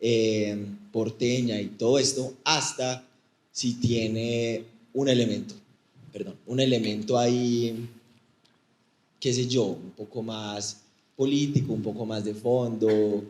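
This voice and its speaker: A man's voice: mid-range voice, fairly even intonation, slow pace, darkish tone. A man from Medellín with a paisa accent, an audience member asking a long, rambling question with apologetic asides at a live event. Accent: Colombian, Medellín (paisa). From Colombia. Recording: roomy auditorium sound.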